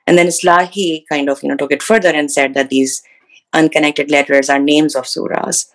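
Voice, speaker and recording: Woman, student asking a question, gravelly, hesitant, many fillers. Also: low-pitched, steady tone, normal speed. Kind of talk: questioning the lecturer.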